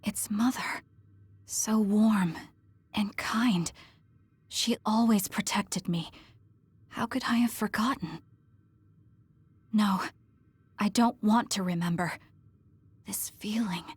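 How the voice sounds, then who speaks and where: An actress playing a guarded, blunt young woman soldier haunted by her memories, in a breathy voice for a video game dub. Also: mid-range voice, takes it slowly, pauses often, no room sound. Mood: grieving, hushed.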